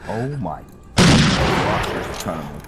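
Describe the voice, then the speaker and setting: A man giving casual commentary on a game playthrough, thinking aloud and narrating his actions in a halting way. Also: sits low, animated, heavy background noise. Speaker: gaming video host. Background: loud game music.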